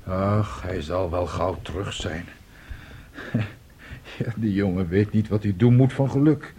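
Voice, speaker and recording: An actor in a radio play playing a paternal middle-aged head of the household with a measured manner. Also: male voice, low-pitched, warm tone, slight background noise. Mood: mild concern.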